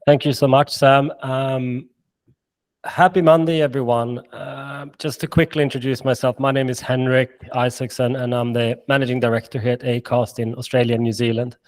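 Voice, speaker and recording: A man's voice: mid-range voice, level tone, normal speed. A man with a Swedish accent presenting a business webinar in an upbeat way, with many fillers and restarts. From Sweden and Finland. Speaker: managing director presenting.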